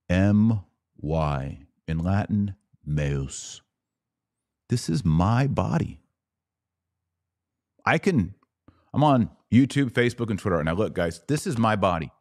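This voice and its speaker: Male podcast host, sermon-like monologue, slow deliberate delivery, swooping lively pitch, dramatic pauses. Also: no room sound, clean studio sound.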